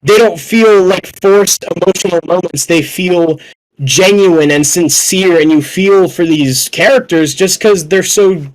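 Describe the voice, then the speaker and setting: A young man with a high voice talks film in a casual podcast setting. He is excitable and effusive, and he peppers his opinions with swearing. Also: a little slow, rough recording. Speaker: podcast guest.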